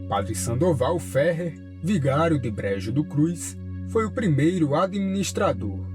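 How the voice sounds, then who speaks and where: A man narrates a documentary in a measured, formal voice pitched slightly higher than most men. A clear guitar track runs beneath his words. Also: lively intonation. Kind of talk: scripted narration. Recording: voice-over with guitar music behind.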